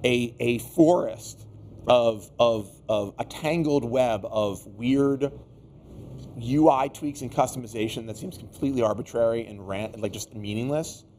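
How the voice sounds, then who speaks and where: A man with a medium-pitched voice hosts a loose tech chat. He sounds tired and under the weather, and he rambles and interrupts himself with some exasperation. Clear ambient noise sits behind him. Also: measured pace, darkish tone. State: tired, getting sick, losing his voice. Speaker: tech show host. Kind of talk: casual unscripted tech discussion.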